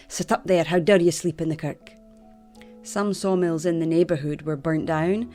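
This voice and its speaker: A woman's voice: deep voice, warm tone. A woman reading first-person memoir excerpts aloud.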